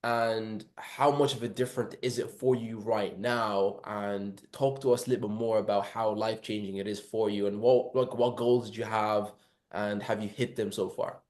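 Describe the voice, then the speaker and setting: A man's voice: fairly low pitch, steady tone, moderate pace, a little mellow, echoey room. A man hosting a casual interview, eagerly asking several questions in a row.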